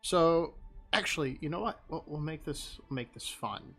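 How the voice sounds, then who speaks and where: A man's voice: a little high, expressive, moderate pace, a bit bright, slightly rough, soft background music. A man live-streaming, chatting casually and rambling as he thinks aloud.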